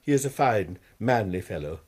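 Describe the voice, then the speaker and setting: An anxious university tutor for radio drama. He is English, donnish and courteous. His pitch moves in lively swings as he hesitates over careful phrases. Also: normal speed. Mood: earnest.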